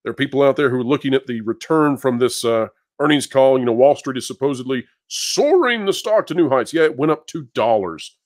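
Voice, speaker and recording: A man's voice: mid-range voice, lively intonation, fairly quick, few pauses. A man giving opinionated live commentary as a panelist, theatrical and sarcastic in a declamatory way.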